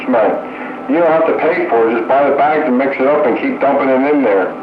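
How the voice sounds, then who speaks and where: A man's voice: mid-range voice, brisk, narrow, tinny audio. A man chatting casually over radio in a blunt, matter-of-fact way. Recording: echoey, very noisy radio transmission.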